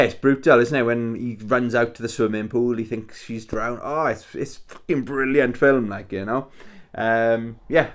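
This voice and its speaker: Welsh man in his forties, casual vlogger, mid-pitched, rambling chatty delivery with fillers. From Wales. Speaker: YouTuber. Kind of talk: casual weekly roundup vlog.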